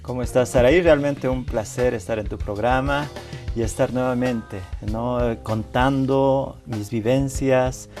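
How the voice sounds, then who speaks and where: A man in his forties from Bolivia, a rights activist guest on a TV interview, telling his childhood story in a measured way with frequent tag questions and restarts. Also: mid-range voice, fairly even intonation, music in the background. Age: 40s. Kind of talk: reflective personal storytelling. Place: television studio.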